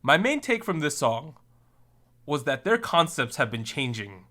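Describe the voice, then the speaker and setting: A man hosting a casual podcast chat, relaxed and joking as he steers the discussion. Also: on the high side, unhurried. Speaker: podcast host.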